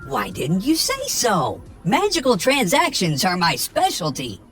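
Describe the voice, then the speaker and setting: Reader doing sly little trickster guy from a storybook, high and sing-song, soft music under it. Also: wildly swooping pitch, measured pace. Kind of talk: storybook read-aloud.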